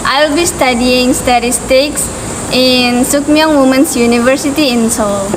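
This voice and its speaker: A young woman with a Malaysian accent, chatting casually as a student panelist in halting, loose phrases. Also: high voice. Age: young adult. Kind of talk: casual chat. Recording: clear background room noise.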